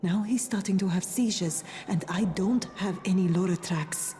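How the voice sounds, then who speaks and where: A woman playing a worried, discreet medic in a game cutscene, speaking in a hushed, measured, confiding way. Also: medium pitch, close to an everyday voice. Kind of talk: dramatic game cutscene acting. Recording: faint background noise.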